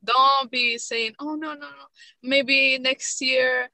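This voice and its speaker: A young woman guest from a Moroccan family in the United States, talking earnestly and with emphasis. Her voice is bright and a little high, and it is recorded dry and close. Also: fairly even intonation, takes it slowly, no room sound, rough recording. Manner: earnest, emphatic, laughs often. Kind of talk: casual chat.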